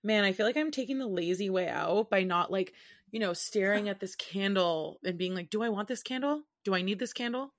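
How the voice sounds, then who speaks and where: A woman's voice: mid-range voice, brisk. Chatty woman in her thirties. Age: 30s. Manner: laughs often, chatty and expressive. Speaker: podcast co-host. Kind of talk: casual chat. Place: at home.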